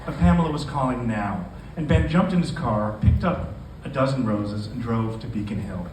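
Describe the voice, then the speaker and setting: A man's voice: mid-range voice, expressive, moderate pace, recorded from a distance. Man at a reading, noisy echoey room. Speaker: novelist. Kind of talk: live literary reading with informal introduction. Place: indoor live venue.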